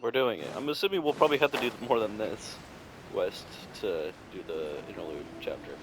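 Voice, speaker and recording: A man commentates casually as he plays a video game. His voice is on the low side, and the recording has clear noise with clicking. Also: brisk. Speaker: gamer commentating on own playthrough.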